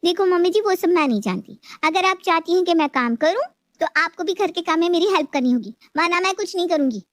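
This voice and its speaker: A woman playing a sly, lazy younger daughter-in-law in an animated moral story, wheedling and petulant. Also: extremely high pitch, quick pace, no echo, studio quality. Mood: petulant, defiant.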